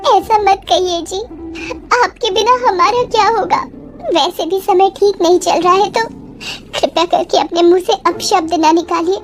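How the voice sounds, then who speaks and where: High, plaintive village wife acted by a woman. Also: a little slow, faint music behind. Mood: concerned, pleading. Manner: plaintive, respectful. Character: anxious, pleading poor village wife and mother. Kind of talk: moral-story drama.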